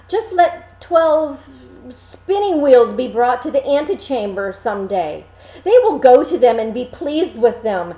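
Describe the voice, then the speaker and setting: Suspicious lion adviser for a fairy tale female reader, slow and insistent, higher-pitched. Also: warm tone. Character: suspicious, scheming male lion advising a king. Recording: roomy, echoing room.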